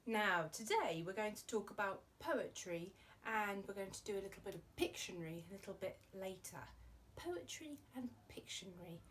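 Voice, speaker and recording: A woman's voice: medium pitch, lively intonation, normal speed, fairly bright tone, slight background noise. A woman presenting a children's arts show, chatty and playful as she talks to young viewers and reads silly verse. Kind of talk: children's presenting and poem reading.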